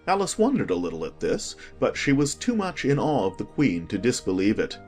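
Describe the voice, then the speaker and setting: A children's audiobook narrator reads scripted prose in a medium-pitched voice. The delivery is very lively and varied, with faint music underneath. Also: fairly quick.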